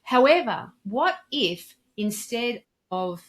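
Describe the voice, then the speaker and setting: A woman's voice: a little high, animated, slow. A woman explaining and giving advice in a podcast interview, articulate and emphatic, in the manner of a trainer. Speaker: dental communication trainer and consultant, podcast guest.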